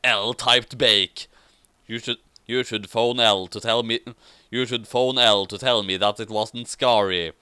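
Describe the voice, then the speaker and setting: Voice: mid-range voice, fairly even intonation, a little slow, bright, forceful tone, smooth. A person reading fan fiction aloud and commenting on it in a theatrical, mock-serious way. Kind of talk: dramatic amateur reading with casual commentary.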